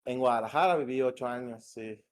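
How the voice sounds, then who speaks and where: A man from northwest Mexico with a northern Mexican accent, a game director talking as a podcast guest in a relaxed, slangy, rambling way. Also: medium pitch, a little fast. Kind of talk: casual podcast interview. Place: home in Morelia.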